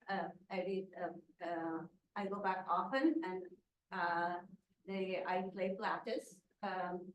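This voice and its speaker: A woman from Syria on a real estate panel, speaking hesitantly with many restarts and fillers. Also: mid-range voice. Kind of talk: panel introduction. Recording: echoey room, distant mic, faint noise.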